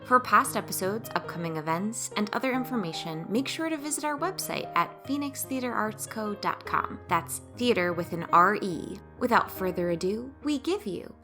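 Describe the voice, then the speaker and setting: A woman's voice: medium pitch, animated, normal speed, hardly any pauses, over music. A woman announcer reading a scripted introduction for an audio drama.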